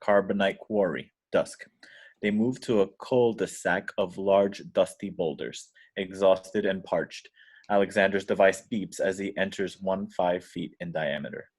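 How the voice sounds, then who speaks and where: A man's voice: low-pitched, fairly even intonation, measured pace. A man reading screenplay stage directions as scripted narration in an amateur table read.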